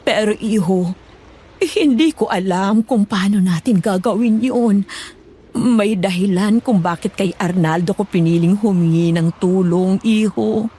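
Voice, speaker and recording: A woman's voice: mid-range voice, unhurried, warm tone, slightly rough. A woman playing a remorseful, fearful middle-aged aunt in a dramatized horror story, apologetic and pleading. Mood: worried. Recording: music bed underneath.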